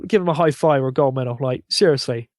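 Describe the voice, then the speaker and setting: A man's voice: medium pitch, fast, dry recording, studio quality. A man chatting casually and enthusiastically, effusive and emphatic in the way he talks.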